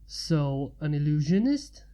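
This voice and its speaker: Woman playing a weary, halting wounded man. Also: low voice, sing-song, dark tone, full character voice. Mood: curious, apologetic. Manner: weak, halting, courteous. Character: weary wounded man, polite stranger mage.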